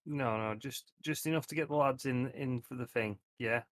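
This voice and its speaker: A man's voice: mid-range voice, normal speed, fairly bright tone. A man playing a tabletop roleplaying game, chatting casually in a blunt, sweary way. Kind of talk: casual group banter.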